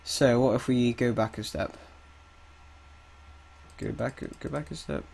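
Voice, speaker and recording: A man with a cold, sounding a little croaky, thinking aloud through a coding tutorial with hesitant pauses. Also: medium pitch, a little mellow. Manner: thinks aloud while typing, hesitant, chuckles at mistakes. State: has a cold, slightly croaky. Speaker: game development tutor. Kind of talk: casual screen-recorded coding tutorial. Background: keyboard typing.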